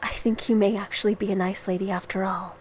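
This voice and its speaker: A woman playing an earnest, guilt-ridden, frightened young hero in a dark audio drama, hesitant and polite. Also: mid-range voice, flat delivery, fast, slight background noise, natural voice. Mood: earnest, uneasy.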